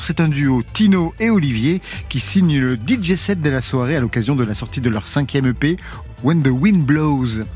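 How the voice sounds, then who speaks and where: A man presents an electronic music radio program from a studio, reading his intros smoothly and lifting his voice on guest names. Faint music sits under his voice now and then. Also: medium pitch, darkish tone. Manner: smooth scripted announcing with lifts on names. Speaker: radio host. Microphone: studio microphone.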